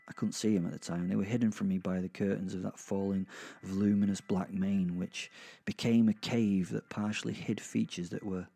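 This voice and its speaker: A British man narrating a darkly comic audio drama in the first person, with wry, conversational, halting asides. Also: on the low side, vocal fry, darkish tone.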